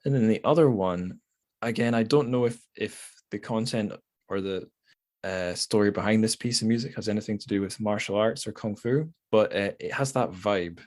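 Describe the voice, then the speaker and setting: A man's voice: fairly low pitch, moderate pace, darkish tone. A man hosting a podcast, chatting casually and informally with a tentative, wry manner. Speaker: podcast host. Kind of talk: casual book podcast chat.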